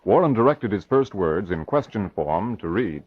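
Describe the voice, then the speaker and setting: A man's voice: fairly low pitch, expressive, moderate pace, husky. A man narrating an old-time radio crime drama in scripted storytelling style. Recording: muffled, noisy old radio recording.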